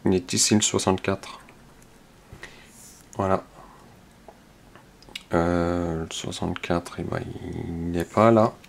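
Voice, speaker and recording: A man hosts a scratch-card video, calmly checking numbers one by one and muttering softly as he scratches. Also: deep voice, slow, vocal fry, long pauses, slight background noise. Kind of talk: casual play-by-play while scratching tickets.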